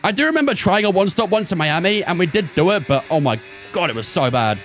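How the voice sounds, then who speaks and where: A man's voice: high-pitched, expressive, fast talker, fairly bright tone, slight background noise. A man in his twenties streaming a racing game, giving chatty running commentary and talking to his chat. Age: 20s. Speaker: gaming streamer. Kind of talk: casual live-stream commentary while racing.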